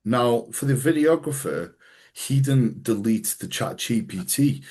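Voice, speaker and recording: A man hosting a casual podcast chat, swearing freely and telling animated stories with quoted voices. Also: medium pitch, measured pace, breathy edge. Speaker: podcast host and coach.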